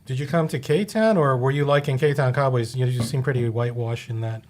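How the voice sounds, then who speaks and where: A man's voice: medium pitch, a little fast, a little mellow, a little hiss or hum. A man hosting a casual podcast, asking questions in a blunt, joking way and swearing freely. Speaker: podcast host.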